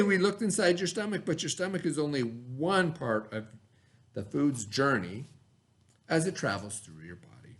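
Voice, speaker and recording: A man teaching a read-aloud lesson for children, speaking instructively, repeating key words and prompting with questions. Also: on the high side.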